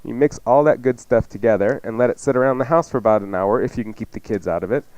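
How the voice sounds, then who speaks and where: A man's voice: mid-range voice, fast, darkish tone, no echo, low-quality recording. A man working as a radio chef, giving recipes in a casual, folksy, joking way. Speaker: chef and show regular. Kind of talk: casual chatty recipe instruction.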